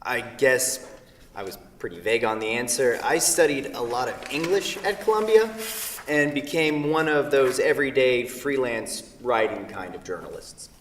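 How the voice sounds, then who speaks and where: An awkward Midwestern writer, played by a man in a staged play reading, answers in a hesitant, dryly defensive way. His mid-pitched voice is picked up from a distance in a hall with heavy echo. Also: normal speed, fairly bright tone, slight background noise, lightly acted. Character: dry, awkward Midwestern freelance writer named Kent. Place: theatre, recorded from a distance. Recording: distant mic in echoey hall.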